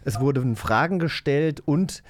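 A man's voice: on the high side, lively intonation, a little slow, dry recording. A man co-hosting a podcast, chatting casually and with enthusiasm. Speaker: podcast co-host. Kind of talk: casual chat.

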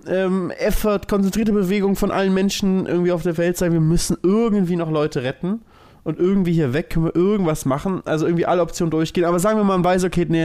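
A man with a slightly high voice talks very quickly in a casual podcast conversation. He thinks aloud, rambling from one loose clause to the next. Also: darkish tone. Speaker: podcast co-host.

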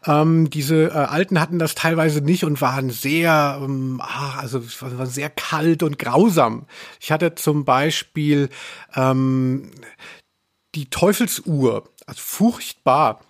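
Higher-pitched man chatting on a podcast. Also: slow. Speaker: podcast co-host. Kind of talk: casual podcast discussion about radio plays.

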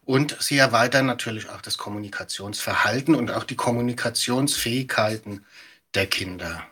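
A man's voice: mid-range voice, normal speed, pushed and bright, breathy edge. A middle-aged man giving explanatory interview answers as an expert guest. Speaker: media educator, podcast guest.

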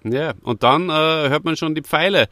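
A man's voice: fairly high pitch, normal speed, bright, forceful tone, no echo. A man with an Austrian accent chatting casually as a podcast co-host in a review.

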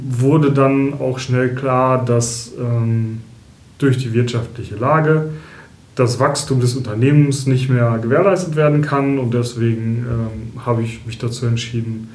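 A man with a mid-range voice explains things calmly as a podcast guest. He pauses now and then with small hesitations. The sound has an echoey, roomy quality. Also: mid-range voice. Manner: calm, explanatory, some hesitations. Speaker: interview guest, energy consultant. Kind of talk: podcast interview.

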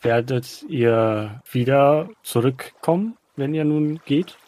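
A man's voice: mid-range voice, slow, darkish tone. A man playing a tabletop roleplaying game, improvising in-character dialogue in formal, wordy phrasing.

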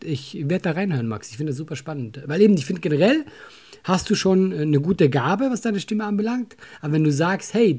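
A man's voice: a little high, a little mellow, breathy edge. A man whose German has a slight Swiss influence, mumbling a little and joking about himself in a casual podcast chat. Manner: self-deprecating, mumbly. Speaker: podcast host.

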